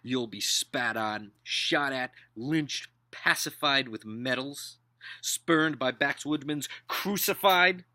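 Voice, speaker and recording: A man's voice: mid-range voice, takes it slowly, sharp tone, no echo, voice pushed up. A man voicing a scornful older upper-class father-in-law in a dramatic book reading, declaiming with contempt and emphasis. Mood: scornful, thundering.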